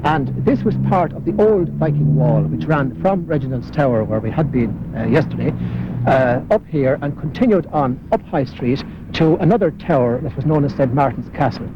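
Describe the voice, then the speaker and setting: A man's voice: on the high side, animated, normal speed. An Irish man, a local historian, talking as he walks through old city streets, with many hesitant fillers. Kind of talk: walking interview. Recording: muffled 1980s broadcast with street noise.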